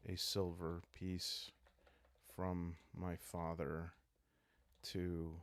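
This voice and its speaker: A man calmly narrating a solo journaling game, soft-spoken and musing, halting as he improvises aloud. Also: sits low, no echo. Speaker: solo roleplayer and show host.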